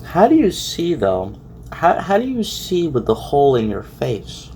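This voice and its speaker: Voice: medium pitch, huge pitch swings, a little slow, darkish tone, a little hiss or hum. Someone giving casual, exclamatory reaction commentary as an online video host, often repeating words for emphasis.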